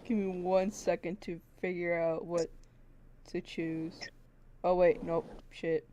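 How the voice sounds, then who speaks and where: A woman's voice: fairly low pitch, little pitch movement, slow pace, warm tone, a little hiss or hum. Mock-exasperated, giggly woman streamer. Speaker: livestreamer. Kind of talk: casual chat while gaming.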